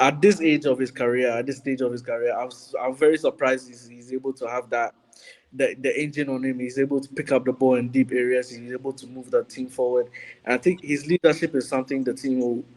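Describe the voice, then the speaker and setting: A man's voice: medium pitch, steady tone, fairly quick, darkish tone, poor audio. A man giving his views as a panelist on a football podcast, in conversational punditry. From Ghana.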